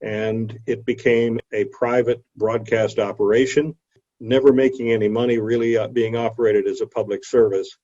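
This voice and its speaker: A man's voice: medium pitch, fairly even intonation, normal speed, mellow. A man giving an explanatory history lecture in a somewhat hesitant manner, recorded over a video call. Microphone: video call microphone. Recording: dull video call audio.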